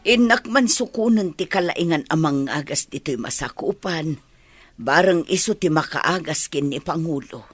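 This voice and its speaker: An actress with a Filipino accent playing an older village mother in a radio drama, caring and anxious about her family, with a husky voice. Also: low voice, lively intonation, normal speed, no echo, faint music behind. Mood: earnest. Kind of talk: Ilocano radio comedy drama acting.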